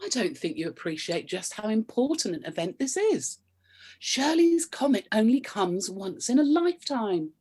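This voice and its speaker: Prim, husky, nagging wife. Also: female voice, a little high, sing-song, normal speed, warm tone. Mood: scolding. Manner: prim, scolding, sarcastic. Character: prim, nagging, suspicious middle-aged wife. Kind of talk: comic radio play acting.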